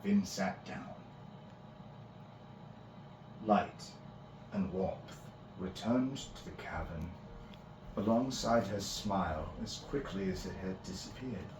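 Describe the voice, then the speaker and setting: A man's voice: low voice, takes it slowly, noisy background. A man narrating a fantasy story in a dramatic read-aloud style. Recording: roomy echo, music behind.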